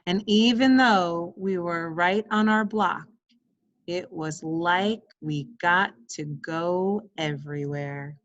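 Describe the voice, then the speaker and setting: Slow-paced woman reading a picture book. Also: lowish voice, highs cut off. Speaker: story reader, co-host.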